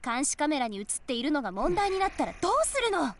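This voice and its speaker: A woman's voice: sits high, sing-song, racing, a bit bright, dry recording, heavily acted. A woman playing a bossy, protective big-sister schoolgirl who scolds insistently in a mystery visual novel. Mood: scolding. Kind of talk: Japanese visual novel voice acting.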